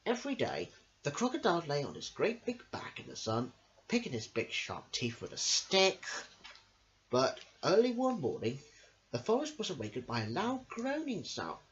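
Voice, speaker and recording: Male amateur storyteller for children's tales: sing-song, very animated intonation, mid pitch, dull lo-fi home recording. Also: moderate pace, slight background noise. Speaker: amateur storyteller, channel host.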